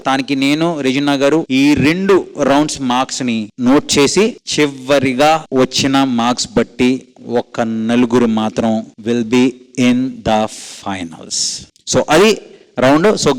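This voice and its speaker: A man, a dance show judge explaining contest rules from the stage. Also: mid-range voice. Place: TV studio stage. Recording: long hall reverb from stage.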